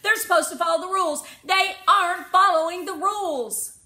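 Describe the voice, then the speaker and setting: A woman voicing an anxious young girl who insists on every rule, calling out in a shrill, urgent voice. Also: normal speed, bright, forceful tone. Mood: insistent. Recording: roomy echo.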